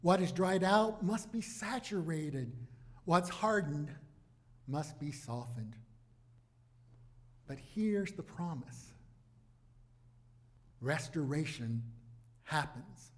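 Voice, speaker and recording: A man preaching a sermon as a pastor, in deliberate, emphatic phrases broken by dramatic pauses. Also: fairly high pitch, expressive.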